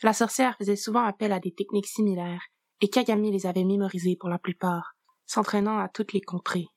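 A woman's voice: mid-range voice, steady tone, brisk, darkish tone, dry recording. Dry-voiced woman reading a story. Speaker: narrator. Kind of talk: reading a fan story aloud.

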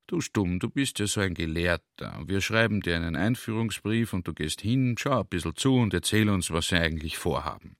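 A senior officer acted by a man in a radio play, giving orders in a chummy, familiar way. The voice is low, and sounds dry and close. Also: brisk, hardly any pauses, no room sound. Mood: chummy, instructing. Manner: familiar, instructing. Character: chummy, commanding senior Austrian army officer.

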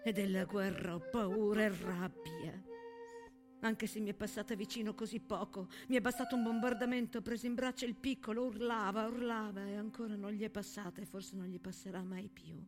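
An actress playing a subdued, worried young mother confiding hushed thoughts to her war diary in an intimate theatrical monologue. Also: mid-range voice, steady tone, moderate pace, music in the background, close to an everyday voice. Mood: anxious, confiding.

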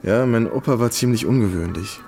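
An actor playing an easygoing, courteous American army captain in a naturalistic, conversational radio drama style. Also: male voice, on the low side, fairly quick, darkish tone, natural voice. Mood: confiding. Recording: faint music behind the voice.

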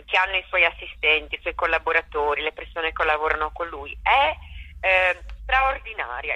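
Woman, very bright tone, dry close sound, thoughtful, restarts often, conversational interview. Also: on the low side, no room sound, poor audio. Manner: reflective, searching for words with many restarts. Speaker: theatre director and actress.

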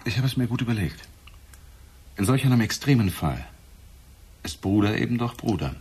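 An actor playing a calculating, self-justifying grown son in a German radio drama, measured and evasive in his reasoning. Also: on the low side, sing-song, a little mellow, slightly rough, heavily acted. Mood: guarded, defensive.

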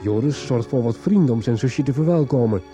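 A man narrating a scripted recap for a radio serial in a deliberate, storytelling announcer delivery. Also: medium pitch, animated. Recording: music playing under the voice. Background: music.

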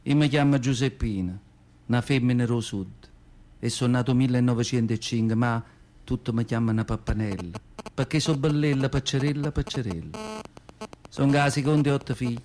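A man's voice: mid-range voice, brisk, darkish tone, lightly acted. A man playing a nearly hundred-year-old poor, devout woman from southern Italy, telling her memories in a radio monologue with a Campanian accent and folksy dialect. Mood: weary, proud. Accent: Neapolitan-area southern Italian (Campania). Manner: folksy dialect storytelling, plaintive, repeats words for emphasis. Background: acoustic guitar music.

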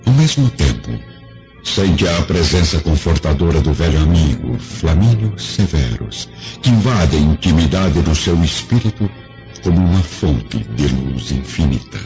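Deep, solemn Brazilian male narrator. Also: sing-song, a little slow. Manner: solemn, theatrical. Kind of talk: dramatic scripted radio drama narration. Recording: dull lo-fi audio, loud music behind. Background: dramatic music.

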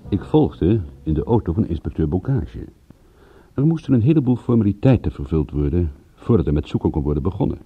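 A man playing a wry private detective narrating his case in a radio drama, measured and dryly ironic. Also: lowish voice, husky, dry recording. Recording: very muffled, dull old radio recording.

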